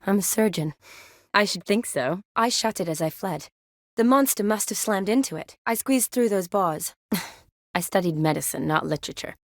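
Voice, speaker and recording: A woman's voice: medium pitch, lively intonation, normal speed, no room sound, clean studio sound, natural voice. A woman playing a composed, wry young medic in a fantasy video game, speaking articulately with a dryly teasing edge. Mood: serious, businesslike. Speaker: video game character, army surgeon. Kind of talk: video game dialogue acting.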